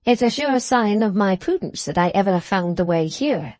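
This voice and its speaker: A woman voicing a composed male soldier in a novel, speaking in a dry, measured manner. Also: mid-range voice, expressive, darkish tone, no echo, lightly acted. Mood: composed, wry.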